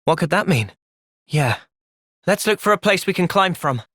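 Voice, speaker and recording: A man voicing an earnest, thoughtful young soldier in a video game, with an English accent and a measured, sincere delivery. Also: high voice, lively intonation, a bit bright, no room sound. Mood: calm, earnest. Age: young adult. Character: earnest, thoughtful young male soldier, gentle but resolute. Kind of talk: video game cutscene acting.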